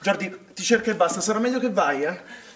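An actor playing a cautious, rule-abiding young swimming instructor, hesitant and reproachful in a stage drama. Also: male voice, sits high, lively intonation, a little fast, a bit bright. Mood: nagging. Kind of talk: stage-style Italian drama acting. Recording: echoey, distant stage recording with clear noise.

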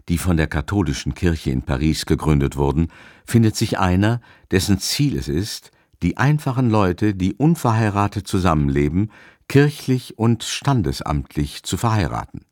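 A man's voice: deep voice, expressive, normal speed, a little mellow, no echo, studio quality. A man narrating a radio drama in a scripted literary style. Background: faint music.

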